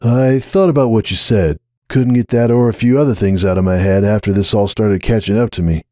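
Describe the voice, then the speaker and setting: A man's voice: on the low side, fairly quick, warm tone, no room sound, studio quality, natural voice. A man voicing a nervous, defensive young male pegasus pony with a casual, sheepish manner in a story reading. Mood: conciliatory.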